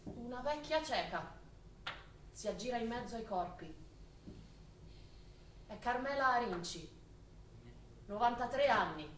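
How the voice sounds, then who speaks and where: A woman's voice: fairly high pitch, lively intonation, measured pace, pauses often. A woman delivering dramatic scripted narration as a stage actress. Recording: echoey, distant, faintly noisy stage recording.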